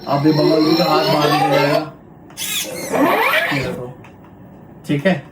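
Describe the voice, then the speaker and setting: Indian man, fairly high voice, casual product demo, explanatory, code-switching, faint-to-clear background noise. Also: level tone, slow pace. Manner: explanatory, switches between Hindi and English. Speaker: toy shop presenter. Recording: echoey room, background noise and clicking.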